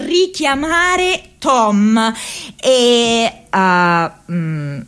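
An actress playing an earnest, quirky young woman activist in a radio monologue, hesitant and rambling, often stammering and repeating herself. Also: fairly high pitch. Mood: scattered, playful.

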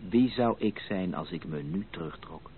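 A low-voiced ardent suitor, vintage radio. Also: male voice, expressive, normal speed. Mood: persuasive. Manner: emphatic, romantic, resolute. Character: ardent, determined gentleman suitor in love. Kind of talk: Dutch radio play acting. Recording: narrow, noisy old radio play recording.

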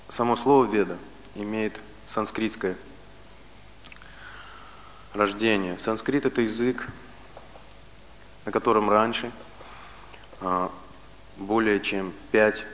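A man in his late thirties giving a philosophy lecture, speaking in a measured way with pauses and restarts. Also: fairly low pitch, fairly bright tone, big-room sound, a little hiss or hum, low-quality recording. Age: 30s.

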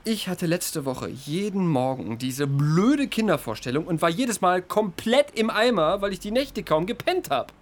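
A man's voice: high-pitched, lively intonation, a little slow, few pauses. An actor playing an irritable, self-pitying theater actor in his thirties, speaking colloquial dialogue in a naturalistic, defensive, agitated way. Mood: exasperated, hurt. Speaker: actor in an audio drama. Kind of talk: naturalistic audio drama dialogue.

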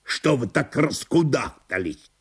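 A man playing a gruff, stubborn, scornful gentleman in a radio drama, grumbling dismissively. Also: medium pitch, animated, measured pace, exaggerated voice. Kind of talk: theatrical radio monologue.

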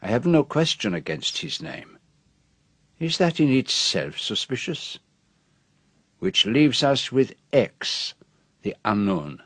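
A fussy Belgian detective in a radio play, with a French-tinged Belgian accent. His husky voice is close and dry, and he speaks precisely, weighing each phrase with theatrical, self-dramatising pauses. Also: medium pitch, big pitch swings, no room sound, heavily acted. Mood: methodical, probing.

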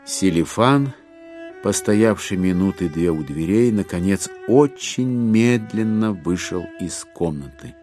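Low-voiced male narrator over violin music. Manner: measured, expressive storytelling with deliberate pauses. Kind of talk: literary scripted narration in an audio drama.

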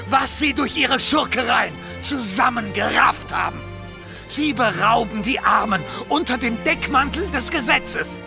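An actor playing a defiant condemned pirate in a historical radio play, proud, scornful and declamatory. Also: male voice, high-pitched, creaky, heavily acted. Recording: music behind the voice.

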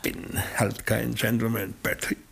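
An actor playing a gruff would-be gentleman gangster in a German radio play, with a husky, gravelly voice and a curt, commanding manner. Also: fairly low pitch, level tone, measured pace, exaggerated voice. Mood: dying, weak.

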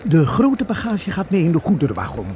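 High-pitched courteous railway clerk. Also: male voice, wildly swooping pitch, a little fast. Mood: polite, precise. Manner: formal, helpful, explanatory. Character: courteous, precise male railway ticket clerk. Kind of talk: radio drama acting. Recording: radio play with clear background noise.

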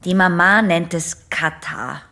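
An actress playing a guarded, dryly defiant young patient in a radio play, answering tersely and reluctantly. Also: female voice, fairly low pitch, slow, sharp tone, heavily acted.

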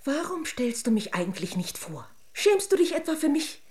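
A woman's voice: sits high, very animated, normal speed, a little mellow. A woman playing a haughty, easily offended fairy-tale princess in a children's radio drama, theatrical and pompous.

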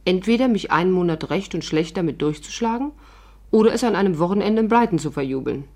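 A woman's voice: fairly low pitch, lively intonation, quick pace, mellow. A woman playing a wry, hard-up stage actress who narrates her adventure in a 1950s German radio drama. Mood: wry, confiding.

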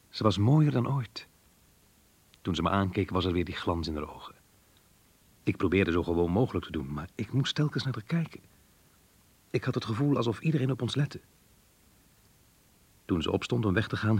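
An actor playing a married man secretly in love in a radio play, restrained but intense, with a hushed, husky voice. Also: fairly low pitch, no room sound, exaggerated voice.

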